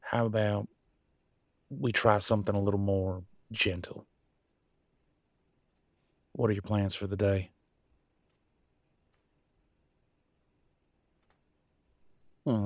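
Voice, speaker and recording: A man's voice: fairly low pitch, moderate pace, pauses often, no echo, studio quality, natural voice. A man playing a proud, wounded werewolf husband and country vet in a roleplay audio drama, with a drawl and a folksy, bitter, raw way of talking. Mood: gentle, casual. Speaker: voice actor. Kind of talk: dramatic roleplay audio, one side of a couple's argument.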